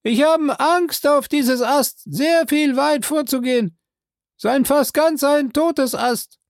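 A reader putting on a high, panicky male servant. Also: slow, dry recording, studio quality. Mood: frightened. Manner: broken grammar, pleading. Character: frightened excitable male servant up a tree. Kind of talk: audiobook character voice.

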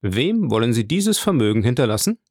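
Male reader as formal, businesslike notary; mid-pitched, dry close sound. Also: very animated, fairly quick, darkish tone, no echo. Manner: formal questioning. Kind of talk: audiobook character voice.

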